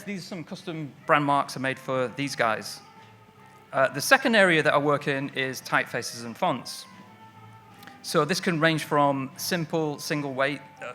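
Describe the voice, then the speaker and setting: Bright-voiced Manchester man giving a talk. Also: medium pitch. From Manchester, England. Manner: friendly, self-deprecating, many fillers. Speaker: lettering artist giving a talk. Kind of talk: conference talk. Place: stage at an evening event.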